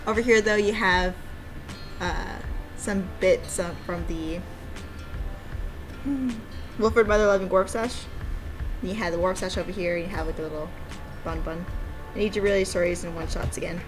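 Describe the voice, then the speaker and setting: A woman's voice: on the low side, fairly even intonation, moderate pace, long pauses, faint music behind, rough recording. A woman giving casual, playful and giggly commentary as she plays a game she made herself. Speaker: game developer playing her own game.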